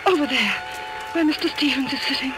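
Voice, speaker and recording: A woman acting in an old-time radio mystery as a sharp, agitated young woman, insistent and accusatory. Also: brisk, over music, close to an everyday voice. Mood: frightened. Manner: insistent, accusatory, high-strung. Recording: noisy old radio recording.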